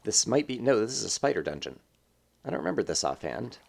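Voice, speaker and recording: A man's voice: fairly low pitch, big pitch swings, fast talker, vocal fry. A man hosting a game let's play, thinking aloud in casual, rambling commentary full of fillers, sounding slightly stuffed up.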